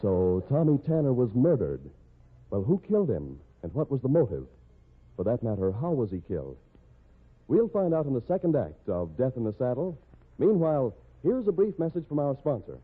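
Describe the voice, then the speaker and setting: A man's voice: mid-range voice, huge pitch swings, moderate pace, slightly rough. A man announcing a radio mystery drama, in a theatrical and emphatic scripted style. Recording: narrow-band old radio broadcast.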